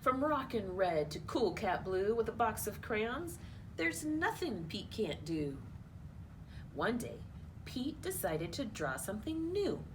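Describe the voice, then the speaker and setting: Animated woman reading a picture book aloud. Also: medium pitch, slow, noisy background. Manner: animated, expressive, playful asides. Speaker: children's storytime host at a library.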